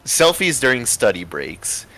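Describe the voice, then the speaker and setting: A man's voice: medium pitch, sing-song, a little slow, pushed and bright, faint music behind, poor audio. A man reading a story aloud with casual asides, sometimes stumbling over his words and correcting himself. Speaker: story reader and show host.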